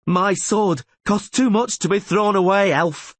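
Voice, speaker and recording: A man reading a fierce, defiant woman mercenary who shouts savagely, in a much higher, louder voice. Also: a little slow, no room sound.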